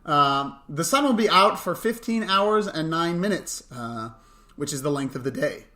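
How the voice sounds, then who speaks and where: Bright-voiced young man, dry and mock-serious. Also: on the high side, animated, moderate pace. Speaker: co-host of a camp morning show podcast. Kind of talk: chatty news and mailbox segment.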